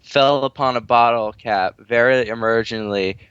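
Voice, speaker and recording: Male amateur reader, mid pitch, dry recording. Casual banter, giggly and crude, keeps breaking from the text. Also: moderate pace, fairly bright tone, clean tone, no echo, dull audio. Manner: giggly, crude, easily derailed. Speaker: reader on a comedic story-reading show with friends. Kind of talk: casual group banter and amateur story reading.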